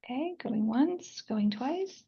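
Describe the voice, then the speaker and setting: A woman chairing a board meeting on a video call, speaking haltingly with many pauses. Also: high voice, lively intonation, mellow, slightly rough. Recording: dull video-call audio.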